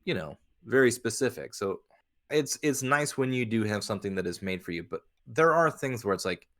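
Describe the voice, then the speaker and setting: Expressive man hosting a casual podcast. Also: lowish voice, moderate pace. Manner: emphatic, profane, acts out imagined quotes. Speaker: podcast host. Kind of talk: casual chat.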